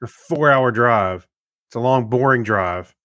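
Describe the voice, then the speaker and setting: A man's voice: mid-range voice, unhurried, bright, forceful tone, dry recording, studio quality. A man co-hosting a podcast, chatting casually, rambling and laughing often. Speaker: podcast co-host. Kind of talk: casual banter.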